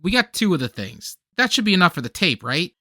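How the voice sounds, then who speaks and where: A man's voice: sits high, sing-song, brisk, sharp tone, no echo, studio quality, full character voice. A man playing an apologetic, nostalgic underdog friend in an audio comedy-drama, speaking in a hesitant, conciliatory way. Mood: sheepish.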